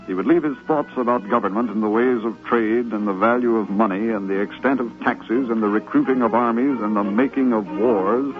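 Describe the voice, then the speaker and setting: An actor playing a devoted grandson narrating fond memories in a reverent, dramatic manner for a 1950s radio drama. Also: male voice, medium pitch, fairly quick, few pauses, natural voice. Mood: fond, nostalgic. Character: devoted grown grandchild fondly remembering grandfather. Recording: muffled 1950s radio recording, music behind. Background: music.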